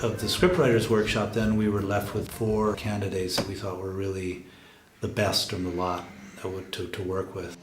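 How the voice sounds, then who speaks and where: A man giving an explanatory, documentary-interview style account of a production, pausing mid-sentence to find his words. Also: sits low, flat delivery. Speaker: radio drama project lead explaining the production. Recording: roomy echo with loud music behind. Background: music with guitar.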